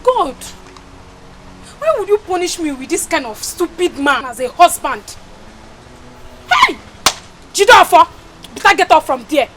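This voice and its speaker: A woman's voice: extremely high pitch, sing-song, measured pace, long pauses, a bit bright, a little hiss or hum, heavily acted. A woman playing a wailing widow. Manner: shrieking, sobbing, calling out repeatedly. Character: distraught wailing village wife, later humbled. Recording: music bed behind.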